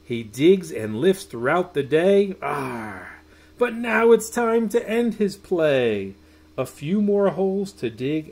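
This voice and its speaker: A middle-aged man reading a rhyming children's picture book aloud in a sing-song way, drawing out words. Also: on the high side. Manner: sing-song, drawn-out words with soft hushed goodnights. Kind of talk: expressive read-aloud of a rhyming children's bedtime story. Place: small room at home.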